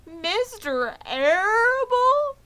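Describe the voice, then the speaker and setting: A woman's voice: very high voice, sing-song, very slow, pushed and bright, voice pushed up, heavily acted. A woman voicing a young male pig in a children's storybook, naive, wailing and pleading in tearful melodrama. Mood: horrified. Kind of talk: children's storybook character reading.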